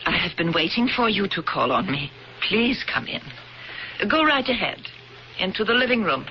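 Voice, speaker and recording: An icy, vengeful survivor. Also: female voice, medium pitch, sing-song. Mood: polite. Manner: measured, icy, deliberate. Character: vengeful woman, Holocaust survivor, calm and cold. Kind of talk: 1970s radio drama acting. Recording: dull old radio broadcast with hiss.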